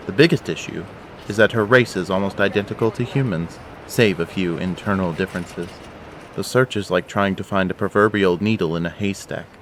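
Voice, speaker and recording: A man's voice: deep voice, fairly quick. A man giving scripted narration for an audio drama, telling the story as its narrator. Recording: faint background noise.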